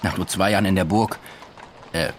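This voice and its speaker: Man playing junior cop, low voice, reserved, careful factual reports, radio drama. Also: steady tone, normal speed, a little hiss or hum, lightly acted. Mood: careful, deferential. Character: deferential, careful junior male police detective. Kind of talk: German radio drama acting.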